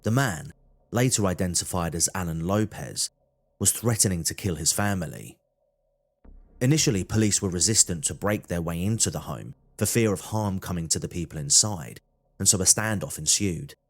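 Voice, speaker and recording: Low-voiced true-crime narrator, male. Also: moderate pace. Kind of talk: scripted documentary narration.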